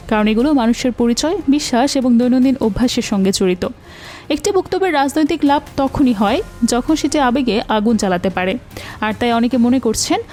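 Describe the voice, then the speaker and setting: A woman reading scripted political analysis in a measured, explanatory way, pausing to put questions to the viewers. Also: sits high, darkish tone. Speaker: news commentary narrator. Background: faint background music.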